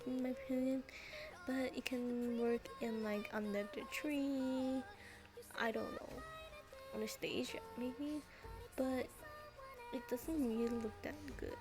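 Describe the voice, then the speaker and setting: A woman's voice: a little high, rough recording. A teenage girl narrating a drawing tutorial in a casual, rambling way, with hesitant restarts and chatty side stories. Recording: voice-over with clear background music. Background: background music.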